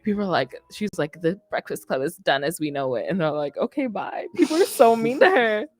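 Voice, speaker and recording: A woman's voice: fairly low pitch, very animated, fairly quick. A young woman hosting a radio show, chatting casually and informally in a loose, rambling way with plenty of slang. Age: young adult. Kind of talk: casual podcast chat. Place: indoor studio with curtains. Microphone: close studio microphone on boom arm, headset on.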